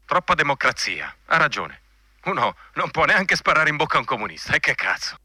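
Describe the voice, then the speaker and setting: A man's voice: a little high, very animated, brisk, bright, forceful tone, no echo, full character voice. An actor playing a coarse, bigoted male army general in a radio drama, blunt, commanding and insinuating. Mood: brutal, scornful. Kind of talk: Italian radio drama acting.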